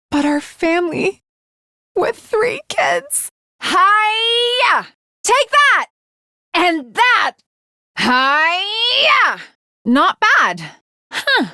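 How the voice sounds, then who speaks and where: Earnest, high-pitched anime swordswoman girl. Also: sharp tone, dry recording, studio quality, heavily acted. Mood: overjoyed, bashful, then fierce, battling, then coy, questioning. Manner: formal, archaic, polite diction with dramatic flourishes. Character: earnest, lovestruck, naive teenage girl swordswoman. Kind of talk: anime video game voice acting.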